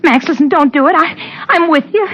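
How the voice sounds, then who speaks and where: A woman's voice: high-pitched, normal speed, fairly bright tone. An actress playing a desperate, pleading woman lover in a 1940s radio crime melodrama. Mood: frightened pleading. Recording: dull vintage 1940s radio recording.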